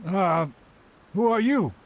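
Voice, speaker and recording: An actor playing a shady older merchant in a game, bossy, defensive and dismissive. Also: male voice, high voice, vocal fry, a little hiss or hum, exaggerated voice. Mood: indignant. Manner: blustering, commanding, dismissive.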